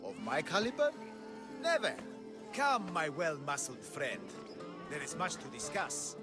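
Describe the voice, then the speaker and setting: A grandiose man who believes he is a god in a video game. His voice is high for a man and very animated, rolling out pompous proclamations at a slow, theatrical pace. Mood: self-important. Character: grandiose, deluded self-proclaimed male god and prophet. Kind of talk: video game cutscene acting. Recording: music playing behind the voice.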